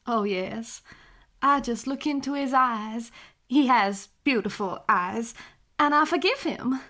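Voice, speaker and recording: High bright voice, woman acting genteel worried widow, earnest, hesitant, noir radio play. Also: slow. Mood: fond, wistful. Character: anxious, genteel, doting 1940s widow client. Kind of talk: noir audio drama acting.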